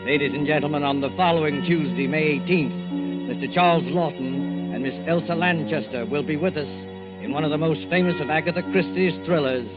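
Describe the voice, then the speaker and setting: A man narrating a 1940s radio drama series in a measured, dramatic announcing style. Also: a little high. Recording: tinny old radio broadcast.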